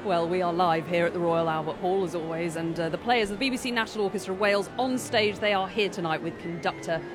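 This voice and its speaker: A woman's voice: on the low side, fast talker. A woman presenting live radio from a concert hall, chatty and enthusiastic. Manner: enthusiastic, conversational. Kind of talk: live radio broadcast chat. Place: concert hall beside the orchestra. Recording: live broadcast with orchestra music behind.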